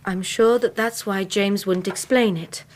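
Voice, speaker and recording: A woman acting a young Victorian lady in a radio drama. She is English, well-spoken and mid-pitched. She speaks earnestly, with a strong will and steady loyalty. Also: unhurried, a little hiss or hum, natural voice. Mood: hesitant, candid.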